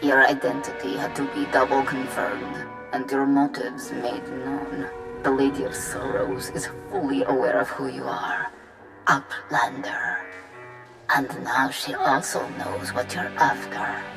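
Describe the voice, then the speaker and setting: An actor playing a cold, imperious envoy in a video game, speaking formally with measured threat over music. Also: male voice, medium pitch, steady tone, gravelly. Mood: measured, commanding. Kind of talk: scripted video game cutscene acting. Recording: dramatic music behind.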